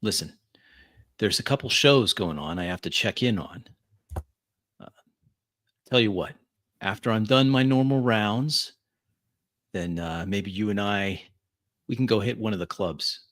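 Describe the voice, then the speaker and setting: Slow, low-voiced man narrating dramatically. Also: lively intonation, fairly bright tone. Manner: halting, deliberate, brooding phrases. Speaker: roleplay player. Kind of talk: first-person dramatic roleplay narration.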